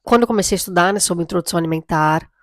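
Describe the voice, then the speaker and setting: Hoarse woman with a cold. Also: lowish voice, very fast, no echo, clean studio sound. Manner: chatty, reflective, often tags lines with "right?" and "you know?". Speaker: mother vlogger. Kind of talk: casual chat to camera.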